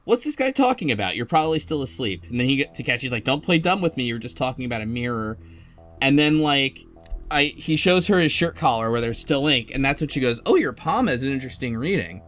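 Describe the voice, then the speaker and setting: A man hosting a casual podcast discussion of a game story, talking in an animated, argumentative way. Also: mid-range voice, quick pace. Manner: animated, argumentative, quotes characters while explaining. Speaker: podcast host. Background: music behind the voice.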